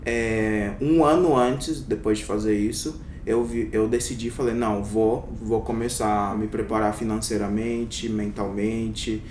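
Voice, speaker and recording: Young adult man from Brazil, interview guest; hesitant and reflective, mid pitch, a bit distant from the mic in a roomy space. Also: steady tone, a little slow, few pauses, a little mellow. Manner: reflective, many hesitations and fillers. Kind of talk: casual interview. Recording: echoey room, somewhat off-mic, faint rumble.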